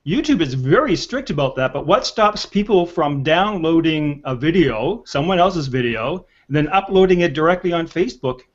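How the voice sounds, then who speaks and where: An older man in his sixties hosts a panel discussion in a conversational way. His voice sits a bit high for a man. The sound is a little dull, as on a video call. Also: lively intonation, normal speed, hardly any pauses. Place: indoor room on a video call. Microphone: video call with earpiece.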